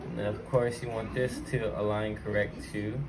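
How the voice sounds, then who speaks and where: A man's voice: on the low side, flat delivery, moderate pace, noisy background. A man hosting his own online video, chatting informally and slangily straight to viewers as he explains things and tells stories. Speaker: YouTube vlogger and channel host. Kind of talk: casual hands-on tech tutorial with personal storytelling.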